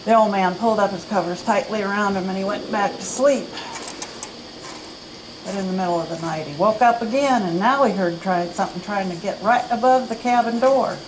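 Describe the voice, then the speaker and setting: Expressive woman reading a story aloud. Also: medium pitch, fairly quick, noisy background. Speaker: reader at a library event. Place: campus event room.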